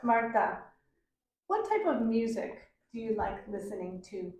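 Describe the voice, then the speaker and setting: A woman acting as an English exam examiner, asking clear, deliberate questions to students. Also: on the high side, very animated, a little mellow. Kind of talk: mock speaking exam questions. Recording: echoey room, mic at a distance.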